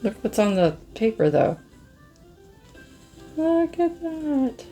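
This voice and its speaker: A middle-aged woman with a hoarse, scratchy voice, chatting slowly to viewers as she reviews art pens and marvels at their colors. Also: sits high, very animated, dark tone, faint music behind. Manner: slow, musing, easily amazed, talks to viewers. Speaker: craft channel host. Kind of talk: casual product review chat.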